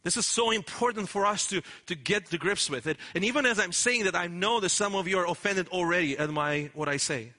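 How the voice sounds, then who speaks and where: A man's voice: high-pitched, a little fast, few pauses, sharp tone. A man preaching a sermon in an earnest, emphatic way, often restarting his words. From Romania. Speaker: pastor.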